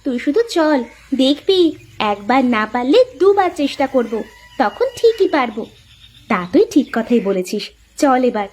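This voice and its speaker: Woman as an earnest village girl, very high voice. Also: big pitch swings, moderate pace. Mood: earnest, hopeful. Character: determined young village sisters, eager and earnest. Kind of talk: Bengali moral-tale cartoon dubbing. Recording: loud music under the voice.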